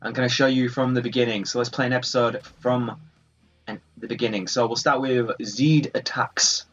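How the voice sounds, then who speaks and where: A man's voice: medium pitch, fairly even intonation, moderate pace, a bit bright, soft background music. Rambling man on a muffled mic. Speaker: gaming video host. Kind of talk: casual let's play commentary.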